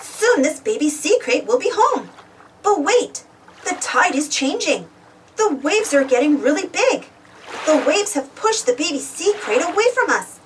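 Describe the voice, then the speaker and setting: Female children's storybook reader, high voice, highly animated and exclamatory, recorded a little away from the mic. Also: measured pace, noisy background. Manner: animated, exclamatory, addresses young listeners with questions. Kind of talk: expressive children's read-aloud. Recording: distant from the mic.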